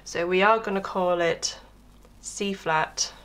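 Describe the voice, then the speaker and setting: A woman with a hoarse voice from being under the weather, chattily explaining a piano tutorial step by step at home, recorded on a crackling camera mic. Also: lowish voice, fairly even intonation, slow pace, a bit bright. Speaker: piano teacher. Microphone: camera microphone with crackle. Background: piano playing.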